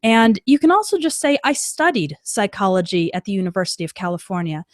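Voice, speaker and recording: Casting note: young adult woman, patient online English teacher, clear delivery, close dry mic. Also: mid-range voice, sing-song, fairly quick, no echo. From United States. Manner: clear, patient explaining with frequent "okay" check-ins. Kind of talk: live-stream English lesson.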